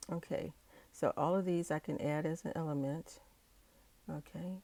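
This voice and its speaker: Hoarse woman with a cold, low and gravelly, easygoing think-aloud planner talk. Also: dark tone. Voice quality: raspy, froggy voice. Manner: unhurried, thinking aloud with frequent okays and uhs. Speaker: digital planner video creator. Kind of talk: casual talk-through while decorating a planner. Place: indoors at a tabletop, hands working an overhead-filmed tablet.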